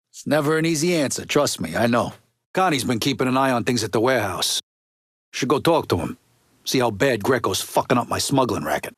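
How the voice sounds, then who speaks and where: An actor playing a gruff, impatient middle-aged American mob underboss in a video game, with blunt, profane, streetwise talk. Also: male voice, medium pitch, a bit bright, dry recording, studio quality. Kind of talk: video game dialogue acting.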